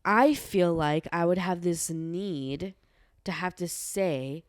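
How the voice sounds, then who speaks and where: A young woman with a slightly low voice chats on a podcast, thinking aloud with fillers. The close mic keeps the sound dry. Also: takes it slowly, no echo. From Bosnia. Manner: conversational, frequent "right" and fillers. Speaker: podcast co-host. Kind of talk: casual chat.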